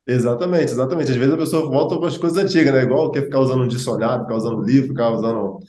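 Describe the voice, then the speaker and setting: A man's voice: medium pitch, very fast, dark tone, reverberant. A Brazilian man, an English teacher and host, explaining in a chatty way with many fillers and asides. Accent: Brazilian Portuguese. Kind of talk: casual explanatory chat.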